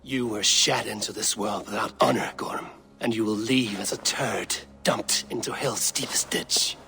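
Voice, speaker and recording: Game role: proud Viking raider, gravelly and sharp-edged, blunt, wry, faint ambient noise behind. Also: male voice, lowish voice, fairly even intonation, measured pace, full character voice. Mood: angry, defiant. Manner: terse, wry, defiant. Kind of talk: video game dramatic acting.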